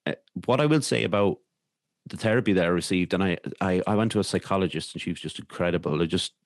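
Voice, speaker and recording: An Irish man telling a personal story as a podcast guest, in a halting, reflective way, repeating words as he goes. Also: low voice, no room sound, studio quality. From Ireland.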